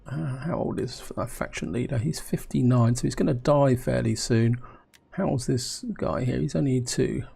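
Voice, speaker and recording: A man with a croaky, gravelly voice from a cold talks himself through a strategy game. His tone is mellow and low-key, and his husky rasp runs under every line as he mulls each choice aloud and now and then turns to the viewers. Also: normal speed. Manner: musing, self-questioning, talks to viewers. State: hoarse from a cold. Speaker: gameplay commentator.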